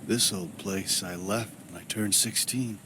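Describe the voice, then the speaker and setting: A man's voice: sits low, unhurried. An actor playing a brooding, world-weary man who narrates his homecoming in a subdued, matter-of-fact way for a game. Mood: weary, regretful. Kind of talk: scripted game narration, inner monologue. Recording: clear background noise under the voice.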